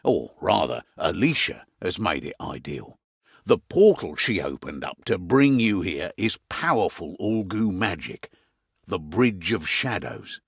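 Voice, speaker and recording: A gruff, middle-aged British innkeeper who runs a resistance from hiding. His delivery is lively and varied in pitch, with wry asides and a weary edge. It is a video game briefing, recorded very dry and close. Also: unhurried, no room sound, heavily acted. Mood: welcoming.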